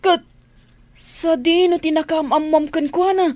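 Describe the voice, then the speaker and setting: A woman's voice: extremely high pitch, fairly even intonation, a little slow, darkish tone. An actress with an Ilocano Filipino accent playing an inquisitive, easygoing young woman in a radio drama. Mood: prying.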